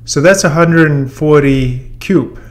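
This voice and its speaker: A man in his thirties giving a relaxed software tutorial, talking through the steps with plenty of fillers. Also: fairly high pitch, slow pace. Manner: relaxed and explanatory, with many fillers. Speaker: software trainer. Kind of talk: casual screen-recorded software tutorial. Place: room with a brick wall and whiteboard, then voice-over on screen recording.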